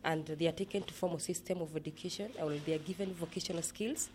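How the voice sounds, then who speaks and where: A woman with a Kenyan accent explaining her work in an interview, speaking in a measured, earnest way with pauses. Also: on the low side, steady tone, a little mellow, slight background noise. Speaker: interviewee, project manager at a rehabilitation center.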